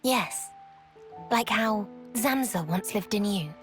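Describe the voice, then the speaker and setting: A woman acting a solemn, otherworldly young woman, the calm conscience of a godlike being, in a video game cutscene. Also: medium pitch, slow pace, over loud music. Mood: calm, grave.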